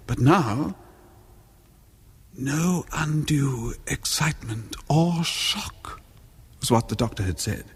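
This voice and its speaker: A haunted, jealous husband confesses his dark scheme in a low voice drenched in long reverb. He speaks very slowly and haltingly, with brooding theatrical weight, in the manner of a seventies horror radio play. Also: husky. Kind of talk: 1970s horror radio drama acting.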